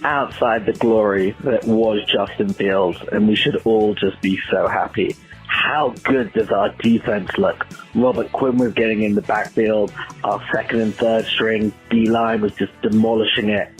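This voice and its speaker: Low, flat-toned male caller. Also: a little slow, low-quality recording. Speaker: fan leaving a voicemail. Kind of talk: casual sports opinion. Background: music.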